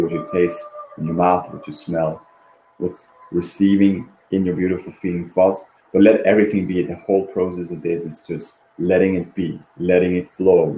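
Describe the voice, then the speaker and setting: A man leading a guided meditation in a gentle, repetitive, unhurried manner, with long pauses and a background. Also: low voice. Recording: roomy echo, faint background noise.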